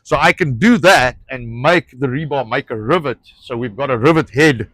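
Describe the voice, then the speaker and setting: A man's voice: mid-range voice, moderate pace, fairly bright tone, dry recording. A man with an Afrikaans accent, Afrikaans his first language, hosting a casual outdoor talk about a ranch project, often hunting for words with fillers and restarts, swearing now and then. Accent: South African. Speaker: ranch owner and show host.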